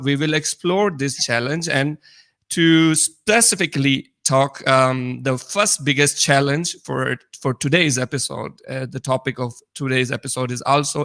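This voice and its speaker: A man with a Pakistani accent co-hosting a conversational podcast in English as a second language, halting and restarting his words. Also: medium pitch, bright, forceful tone. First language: not English. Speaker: podcast co-host. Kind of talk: conversational podcast talk about immigrant experiences.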